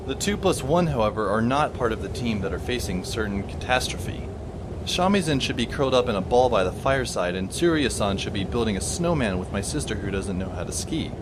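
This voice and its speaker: A man's voice: lowish voice, noisy background, natural voice. A man playing a wry, sardonic teenage boy narrating a fan audio drama with dry, sarcastic asides.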